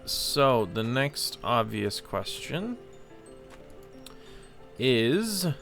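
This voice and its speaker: A man's voice: mid-range voice, big pitch swings, drawn-out words, vocal fry, a bit bright. A man giving casual let's play commentary, thinking aloud hesitantly as he plays. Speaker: game streamer. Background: game music.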